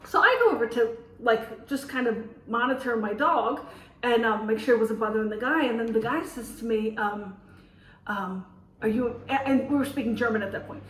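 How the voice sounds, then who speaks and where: A woman's voice: on the high side, moderate pace, fairly bright tone, recorded from a distance. Chatty American woman in an echoey room. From United States. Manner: chatty and rambling, with many fillers and restarts. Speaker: teacher and vlogger. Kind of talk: casual vlog monologue and storytelling.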